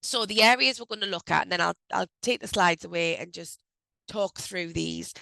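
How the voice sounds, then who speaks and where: A woman hosting an online HR briefing on a video call, speaking loosely with frequent ums and self-corrections, her voice croaky as she recovers from a cold. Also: lowish voice, normal speed, pushed and bright, no echo, low-quality recording. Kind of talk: informal presentation on a video call. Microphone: video call microphone.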